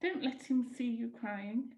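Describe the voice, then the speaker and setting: Actress as caring young daughter, radio play: high, slow, tearful, roomy call sound. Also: female voice, mellow. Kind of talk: vintage radio drama acting. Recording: echoey video-call room.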